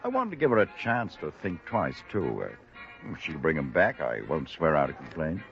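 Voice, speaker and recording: Very deep, evasive radio-drama gentleman. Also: huge pitch swings, normal speed, full character voice. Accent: American. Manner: hesitant, hedging. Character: evasive, self-justifying well-off male host reporting a theft. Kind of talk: 1940s-50s American radio drama acting. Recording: dull vintage radio recording with faint music.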